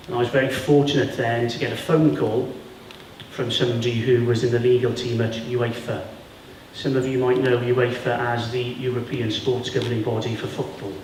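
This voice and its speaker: A man from Wales whose first language is Welsh talks plainly and warmly to pupils about his path into work. His voice sits at an ordinary middle pitch. The sound is distant and roomy, with heavy echo and a faint hum of ambience behind it. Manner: plain, friendly, addresses pupils directly. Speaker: guest speaker. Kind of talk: career talk to school pupils. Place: school hall or classroom. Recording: echoey room from far off.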